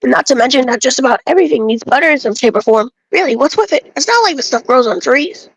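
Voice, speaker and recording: A woman's voice: sits high, expressive, fast talker, slightly rough, no room sound, rough recording. A woman reading a scripted monologue aloud as an amateur performance. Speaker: amateur reader of a game character's dialogue. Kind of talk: dramatic read-aloud of scripted monologue with casual asides.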